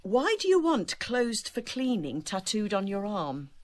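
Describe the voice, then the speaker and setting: An actress playing a polite, dry-witted woman in a radio drama who speaks hesitantly but deadpan. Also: mid-range voice, unhurried. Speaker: former English teacher.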